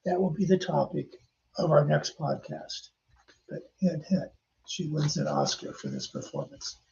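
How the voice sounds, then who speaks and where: An older man tells anecdotes in a chatty podcast style, turning to his listeners now and then. His voice is heavily gravelly and mellow, a little high for a man, and the recording sounds dull and lo-fi. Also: a little slow, slight background noise. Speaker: podcast host and author. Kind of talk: casual storytelling narration.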